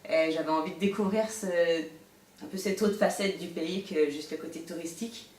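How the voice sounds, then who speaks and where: A woman's voice: lowish voice, normal speed, darkish tone. A woman in her late twenties speaking informally in a testimonial interview. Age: 20s. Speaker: volunteer, hotel management assistant. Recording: roomy, distant mic, faint noise.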